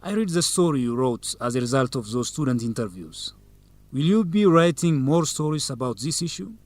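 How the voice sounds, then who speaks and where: An actor playing a manipulative young student council president in a radio drama, formal, measured and insistent. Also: male voice, medium pitch, lively intonation, a little mellow, no room sound, close to an everyday voice. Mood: formal, apologetic. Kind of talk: educational radio drama acting.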